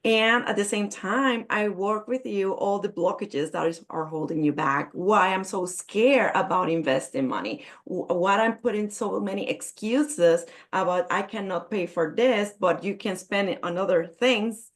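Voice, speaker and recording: A woman's voice: mid-range voice, measured pace, few pauses. Puerto Rican woman, Spanish accent. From Puerto Rico. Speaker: business coach. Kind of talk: networking introduction on a video call. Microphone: video call.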